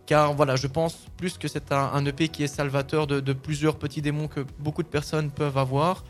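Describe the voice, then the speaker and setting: French-speaking musician guy answering an interview, lots of ums, kinda monotone. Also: fairly high pitch, fairly quick, soft background music. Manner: hesitant, many fillers and restarts. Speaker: singer-songwriter guest.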